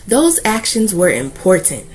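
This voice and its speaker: A woman reading a children's book aloud as host, with deliberate, emphatic phrasing and an expressive storytelling delivery. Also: mid-range voice, husky.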